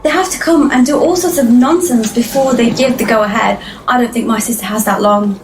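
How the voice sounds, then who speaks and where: A woman's voice: on the high side, a little fast, echoey room, slight background noise, lightly acted. An actress playing a polite, earnest, worried young woman in an audio play. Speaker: actor in an audio drama.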